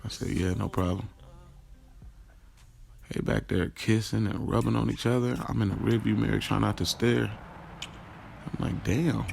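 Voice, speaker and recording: A man's voice: lowish voice, fairly even intonation, normal speed, gravelly, long pauses, darkish tone. An American man playing a young, streetwise rideshare driver who tells his story in a slangy, hesitant, confessional audio drama narration. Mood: conflicted, wry. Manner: conversational, slangy, hesitant self-corrections. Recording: music behind the voice. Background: music.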